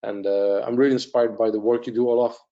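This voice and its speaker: Guy on a video call, bright voice, earnest and halting, kinda dull mic. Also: lowish voice, flat delivery. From Belgium. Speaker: audience participant, photographer. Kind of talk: casual spoken comment on a call. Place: online video meeting. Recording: dull online-call microphone.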